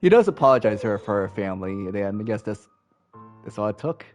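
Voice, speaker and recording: A man's voice: fairly low pitch, expressive, brisk, darkish tone, soft background music. A man casually recapping a visual novel for viewers, talking in a laid-back, rambling way with lots of fillers. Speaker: let's play host. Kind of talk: casual game recap commentary.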